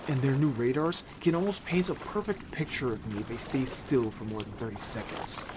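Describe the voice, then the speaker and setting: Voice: medium pitch, brisk, background noise, lightly acted. An actor playing an upbeat, bold young merfolk scout in an audio story, chatty, casual and playfully defensive. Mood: defensive, eager. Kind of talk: audio story character acting.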